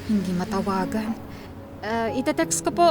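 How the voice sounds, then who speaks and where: A woman's voice: mid-range voice, expressive, moderate pace, over music, natural voice. A woman playing a caring, take-charge young employer in a radio drama, commanding yet considerate. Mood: concerned.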